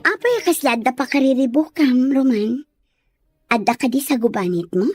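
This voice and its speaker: A woman's voice: sits high, lively intonation, moderate pace, a little mellow, soft background music. A woman playing an inquisitive, sympathetic lady with a questioning manner, in a Filipino radio drama. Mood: concerned.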